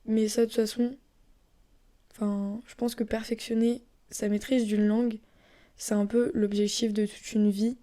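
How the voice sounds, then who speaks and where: A young woman from France chatting casually and rambling on her own podcast, often dropping into soft whispery asides. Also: fairly high pitch, level tone, a little slow. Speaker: podcast host. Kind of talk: casual solo podcast chat.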